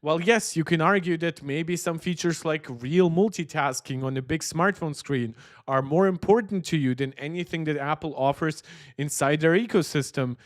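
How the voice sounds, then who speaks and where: A man with a slightly high voice hosts a tech livestream. He talks conversationally and gives his opinions straight to viewers. The sound is close and dry. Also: dry recording. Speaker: tech channel host. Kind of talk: livestream chat and reaction commentary.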